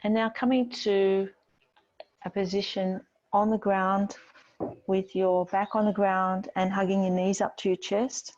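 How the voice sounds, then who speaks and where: A woman yoga teacher giving gentle, unhurried guided relaxation and movement instructions in a soothing manner. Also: medium pitch, level tone, highs cut off.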